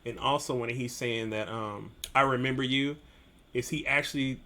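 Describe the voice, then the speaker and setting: A man with a medium-pitched voice gives casual reaction commentary as the host. He talks informally, musing and analysing as he goes. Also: a bit bright.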